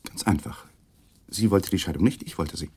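A deep, guarded suspect husband. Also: male voice, normal speed, mellow, husky. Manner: clipped, evasive answers that turn defensive. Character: tense, guarded male husband of a dead wife. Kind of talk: 1980s German radio drama acting.

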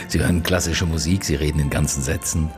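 A deep-voiced male detective in a radio play, brooding and terse. He probes with short questions and halting phrases, sounding weary, with faint music behind him. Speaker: actor in a radio drama. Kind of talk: naturalistic German radio drama dialogue.

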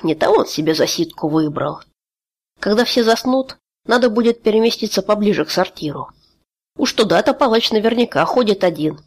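A woman reading a fantasy audiobook aloud in a steady, expressive way. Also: fairly low pitch. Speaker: audiobook reader.

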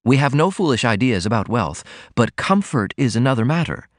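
A man reading aloud, voicing a proper middle-aged mother who speaks in measured, disapproving tones. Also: medium pitch, sing-song, no echo, clean studio sound, pitched above the performer's own voice, lightly acted. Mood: stern.

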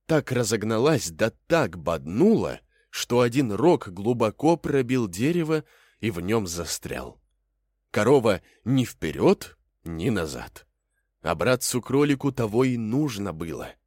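A man's voice: lowish voice, big pitch swings, unhurried, a little mellow, no echo, clean studio sound. A man narrating a fairy tale as a storyteller, in a folksy, theatrical way.

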